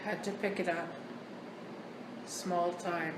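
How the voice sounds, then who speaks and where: A woman's voice: lowish voice, fairly even intonation. Woman ghost hunter blurting out single words, far off mic in an echoey basement, lots of noise. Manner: calls out single halting words, then explains them. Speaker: paranormal investigator relaying words she hears. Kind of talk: casual ghost-hunt chatter. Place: old hotel wine cellar, basement. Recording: echoey cellar, distant mic, loud background noise.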